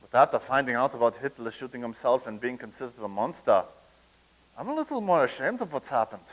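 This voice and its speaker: A man's voice: mid-range voice, big pitch swings, fairly quick, natural voice, poor audio. A man reading the part of a flustered soldier from a past war in a staged comedy reading, stammering with nervous restarts.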